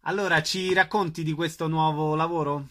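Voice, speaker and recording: A male radio host with a fairly high, crisp and bright voice. He presents announcements and interviews guests in a forward, sharp tone. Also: fairly even intonation, normal speed.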